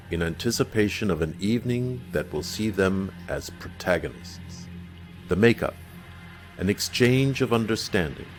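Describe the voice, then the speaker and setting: A man in his fifties with a deep voice and clear pronunciation, narrating a film slowly and dramatically. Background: music.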